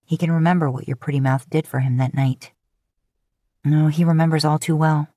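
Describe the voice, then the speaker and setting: Low-voiced woman narrating a story. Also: steady tone, a little fast, warm tone, no echo, studio quality. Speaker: podfic narrator.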